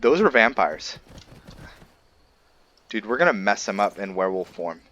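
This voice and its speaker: A man's voice: fairly low pitch, big pitch swings, moderate pace, fairly bright tone, muffled recording, slight background noise. A man giving casual, rambling gameplay commentary, chatting informally to his viewers as the host. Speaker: gaming video host.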